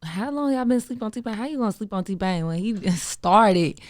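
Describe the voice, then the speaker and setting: A woman's voice: medium pitch, lively intonation, normal speed, dry recording, studio quality. A woman hosting a radio interview, easygoing and slangy, with short affirmations between questions. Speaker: radio show host. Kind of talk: casual interview.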